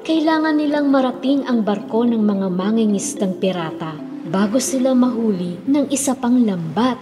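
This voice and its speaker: A woman's voice: fairly high pitch, lively intonation, moderate pace. A woman narrating a children's fairy tale as a storyteller, in a scripted style. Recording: long reverb, music behind.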